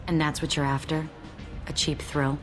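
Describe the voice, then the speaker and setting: A seductive alien huntress from a video game, played by a woman, with a low, sultry voice. She speaks with soft menace, like a killer who is drawing someone close. Loud music plays behind her. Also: level tone, lightly acted. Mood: cool allure. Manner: sultry, slow-burning, softly menacing.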